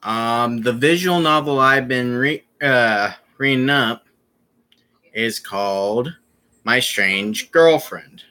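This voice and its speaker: A young man talks to his stream audience in a slow, unhurried way, pausing often and trailing into fillers and restarts. His voice sits at an ordinary pitch with a bright edge. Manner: rambling, halting, many fillers and restarts. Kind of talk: casual live-stream chat.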